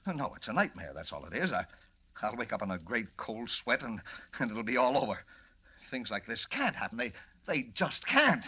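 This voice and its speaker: A blustery, hot-tempered male newspaper boss in an old radio serial. He sputters emphatically, his bright, husky voice swinging up and down. The recording is dull. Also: medium pitch, very animated, moderate pace, exaggerated voice. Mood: stunned disbelief. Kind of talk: 1940s radio acting.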